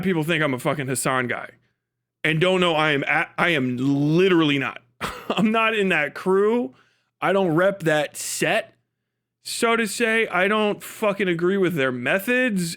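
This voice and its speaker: High, bright-voiced man chatting on stream. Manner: animated, sweary, mocking. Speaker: live stream host. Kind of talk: casual livestream chat to viewers.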